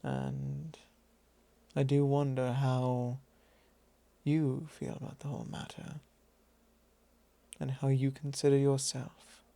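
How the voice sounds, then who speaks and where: A man acts out a vampire lord in an intimate ASMR roleplay, teasing and quietly possessive as he probes his thrall. His voice is soft and dark with a gravelly fry, and he drawls his formal words very slowly. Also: mid-range voice, flat delivery, dry recording. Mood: probing, quietly possessive.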